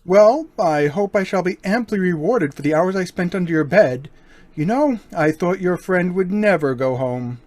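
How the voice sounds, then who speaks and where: A man playing a suave, menacing gentleman burglar in an old-time radio crime drama, mock-courteous and taunting. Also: high voice, expressive, moderate pace. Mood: mock-polite menace.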